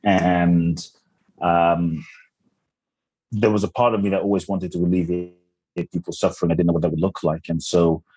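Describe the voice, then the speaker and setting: A man born in Ghana and raised in London, a spiritual speaker answering interview questions calmly and earnestly. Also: sits low, moderate pace, pauses often, slightly rough, no room sound, low-quality recording. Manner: calm, earnest, personal storytelling. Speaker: podcast guest, spiritual speaker. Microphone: remote call audio.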